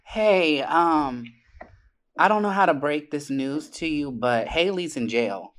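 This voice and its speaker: A man's voice: a little high, lively intonation, slow pace, fairly bright tone. A man co-hosting a casual livestream with banter and prank calls, talking in a slangy, sassy way. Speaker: co-host making prank calls.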